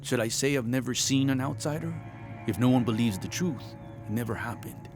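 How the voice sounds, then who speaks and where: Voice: mid-range voice, lively intonation, fairly quick, lightly acted. Casting: performer as outcast market trader in an adventure game, resentful yet respectful, music underneath. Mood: resentful, subdued. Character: wary outcast trader in a hidden city, deferential, resentful. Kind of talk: video game cutscene acting.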